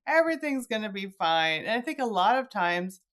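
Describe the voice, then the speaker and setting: A woman's voice: mid-range voice, sing-song, a little fast, fairly bright tone. A middle-aged woman hosting a podcast, talking in a casual, chatty way and slipping into little quoted asides. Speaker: podcast host interviewing an author. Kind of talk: casual conversational interview.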